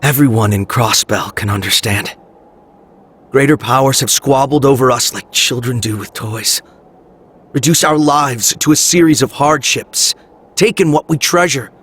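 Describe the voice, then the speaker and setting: An actor playing an earnest, resolute young hero in a video game, speaking in solemn, heartfelt appeals. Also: male voice, mid-range voice, fairly bright tone, husky.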